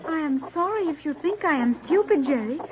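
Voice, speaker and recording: A woman's voice: high voice, animated, brisk, dull audio. An actress playing a naive, literal-minded girl in an old-time radio drama, speaking in formal, precise sentences. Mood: puzzled, earnest. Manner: stilted, formal, precise, without contractions. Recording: band-limited, noisy old radio recording.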